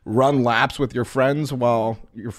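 A man's voice: medium pitch, steady tone, a little slow, a crowd in the background. A man in his thirties, a podcast guest, chatting casually in a rambling way with lots of fillers. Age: 30s. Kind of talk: casual chat.

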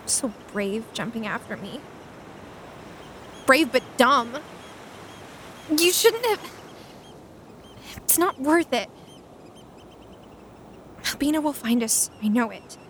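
A woman playing a tender, guilt-ridden young fantasy adventurer with a halting, anxious, caring delivery, in an audio drama. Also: on the high side, lively intonation, fairly bright tone, slight background noise. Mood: tender worry. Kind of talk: audio fiction drama acting.

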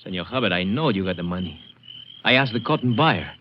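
Mexican-accented sharecropper, male actor, lowish voice, humble yet proud, halting, muffled old radio recording. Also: animated, natural voice. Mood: proud, insistent. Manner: halting, polite, earnest. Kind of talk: 1950s radio drama acting. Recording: dull, noisy vintage radio recording.

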